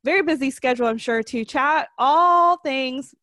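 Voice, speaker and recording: A woman's voice: sits high, normal speed, sharp tone, dry recording, studio quality. A woman hosting a casual podcast, upbeat and running a trivia quiz with her guests. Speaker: podcast host.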